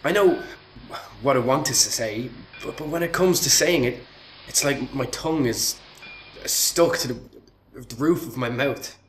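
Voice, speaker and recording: An actor playing a shy, nervous eighteen-year-old apprentice in a radio play, speaking haltingly with a stammer. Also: male voice, mid-range voice, echoey room, a little hiss or hum, close to an everyday voice, rough recording. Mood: anxious, frustrated. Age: young adult. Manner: hesitant, halting.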